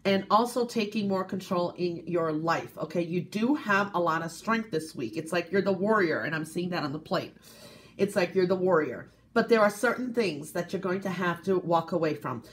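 Female fortune reader, lower register, congested and sniffly, gentle check-ins, faint room hiss. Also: normal speed. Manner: gentle, repeats "okay?" and "um". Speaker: coffee cup reader. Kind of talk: casual tarot-style reading to camera.

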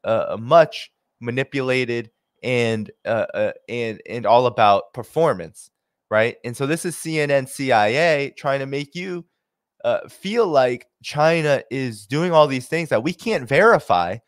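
A man's voice: mid-range voice, lively intonation, unhurried, no room sound. A young man hosting from a home office on a studio mic, commentating animatedly on clips with many fillers. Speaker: host and commentator. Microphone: studio mic with headphones.

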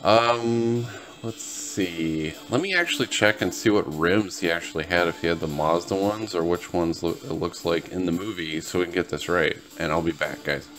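A man's voice: low voice, moderate pace, fairly bright tone, faint music behind. A tired, laid-back man giving casual, rambling gameplay commentary to his viewers as host of a gaming video. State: tired, just woke up.

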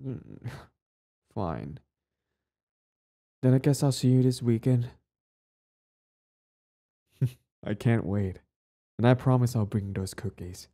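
A man's voice: mid-range voice, slow pace, long pauses, dark tone. A man playing a lovestruck, clingy young bully in intimate romance roleplay audio, stammering, sighing and pleading. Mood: fond, teasing.

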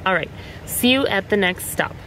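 A woman's voice: mid-range voice, lively intonation, moderate pace, a little hiss or hum. An American woman, perhaps in her thirties, chatting casually and informally as a travel vlogger, amused at herself. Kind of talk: casual travel vlog chat. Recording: loud background music under the voice.

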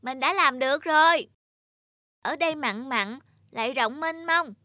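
A woman's voice: sits high, measured pace, background noise. A woman voicing a small, brave, anxious young raindrop boy in a cartoon, earnest and exclamatory. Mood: delighted.

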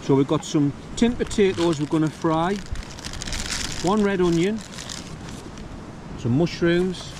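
A man with a North East English accent talks casually for an outdoor vlog, his voice a little high for a man. He is understated and wry, recorded on a handheld camera with clear wind and stream noise behind him. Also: slow pace, pauses often. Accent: North East England. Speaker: camping vlogger. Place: open grassy hillside valley by stream. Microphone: handheld camera at arm's length. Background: wind and running water.

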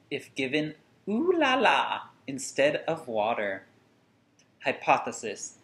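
A man in his thirties reading a picture book aloud to children with deliberate, singsong phrasing and dramatic emphasis. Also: medium pitch, a bit bright. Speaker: children's story reader. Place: small furnished room at home, indoors. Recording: roomy indoor echo.

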